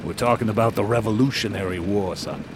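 A man's voice: fairly low pitch, fast talker, background noise, close to an everyday voice. An actor playing a chatty, boastful male state trooper detective who laughs at his own stories, in a radio drama.

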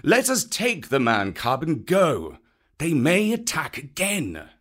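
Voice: medium pitch, huge pitch swings, slow, fairly bright tone, voice pushed up, full character voice. A reader voicing a proud, stern panther in a storybook. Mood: urgent.

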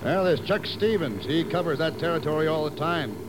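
An actor playing a courteous, matter-of-fact military colonel in an old radio drama, formal and deliberate. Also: male voice, a little high, lively intonation, lightly acted. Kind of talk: 1950s radio drama acting. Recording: noisy 1950s radio recording.

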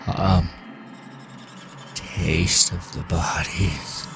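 Rotting undead lurer, horror audio drama: extremely slow, gravel-throated, halting, eerily seductive. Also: male voice, deep voice, fairly even intonation, background noise, exaggerated voice. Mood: seductive pleading. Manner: halting, repetitive, entranced luring. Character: rotting undead man overgrown with vines, eerily seductive and beckoning. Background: music.

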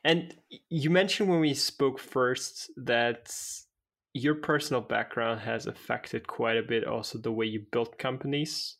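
A man's voice: mid-range voice, measured pace, a bit bright. A man interviewing a guest as a podcast host, in a casual conversational style.

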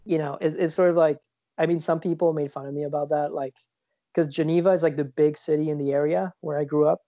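A man from Switzerland chats loosely on a podcast, circling his points with many fillers and false starts. His voice is dark and mellow. The sound is dry and a little dull. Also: on the high side, fairly even intonation, fast talker, no echo. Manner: rambling, many fillers and restarts. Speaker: podcast co-host. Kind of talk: casual chat. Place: lives in New York.

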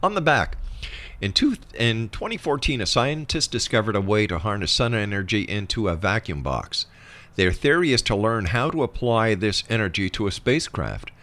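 Animated, bright-voiced man hosting an interview. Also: fairly low pitch. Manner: emphatic, addresses guest as my friend. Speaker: interview show host. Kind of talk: conversational interview, probing questions.

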